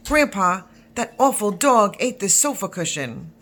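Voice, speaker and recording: A woman's voice: mid-range voice, big pitch swings, measured pace, fairly bright tone. Woman as complaining storybook grandchild.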